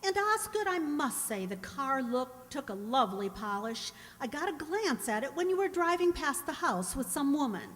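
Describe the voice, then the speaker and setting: A woman's voice: high-pitched, big pitch swings, moderate pace, hardly any pauses, fairly bright tone. A woman playing a placid, absent-minded middle-aged housewife, breezy and distracted, in a radio comedy. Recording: echoey hall, long reverb.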